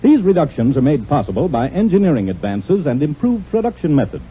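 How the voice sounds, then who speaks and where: A male radio announcer reading a commercial in a crisp, salesy 1950s style, from a dull old radio broadcast. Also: fairly high pitch, very animated, fairly quick.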